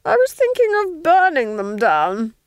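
A woman voicing an imperious, cruel Duchess in a storybook reading, with a shrill, haughty, biting delivery. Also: wildly swooping pitch, a little slow, no echo, full character voice. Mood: coldly curious. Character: imperious, cruel, menacing fat Duchess, domineering villain.

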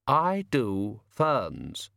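A man's voice: fairly low pitch, huge pitch swings, extremely slow, vocal fry, bright, forceful tone, no echo, studio quality, full character voice. A man voicing a cold, aloof male frost spirit who speaks in a cool, precise and slightly wounded way.

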